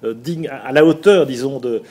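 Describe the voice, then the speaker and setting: A man's voice: medium pitch, moderate pace, darkish tone, husky. A man with a Belgian French accent hosting a casual motorsport chat, talking in bursts with many hesitations and restarts. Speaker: host.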